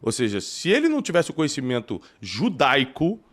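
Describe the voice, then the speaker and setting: A man from Rio de Janeiro with a carioca accent, a theologian hosting an interview who asks questions and thinks aloud in an animated way. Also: medium pitch, fairly quick. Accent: Carioca Brazilian Portuguese. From Rio de Janeiro, Brazil. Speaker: podcast host and theologian. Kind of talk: conversational interview.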